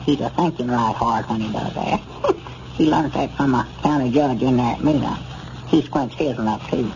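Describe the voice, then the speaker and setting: A man's voice: medium pitch, normal speed, a little mellow, natural voice. A man playing a gullible, admiring country storekeeper in 1930s radio comedy, with a folksy rural Arkansas drawl. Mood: awed, admiring. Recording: noisy muffled 1930s radio recording.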